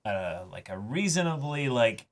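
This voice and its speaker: Casting: male reaction host, mid-pitched, earnest, halting, conversational. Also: sing-song. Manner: halting, searching for words, earnest. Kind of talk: casual episode discussion.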